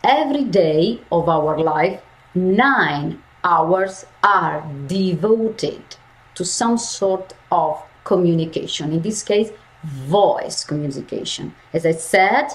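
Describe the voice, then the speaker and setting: Slow, emphatic woman voice coach. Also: fairly low pitch, expressive, poor audio. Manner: slow, emphatic, repeats key phrases. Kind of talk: lecture to university students.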